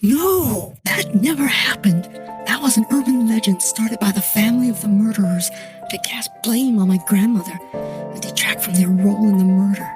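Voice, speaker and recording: A woman in her thirties playing an earnest, troubled client who recounts her haunting in a measured, scripted dramatic monologue. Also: mid-range voice, close to an everyday voice. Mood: defensive. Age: 30s. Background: soft music.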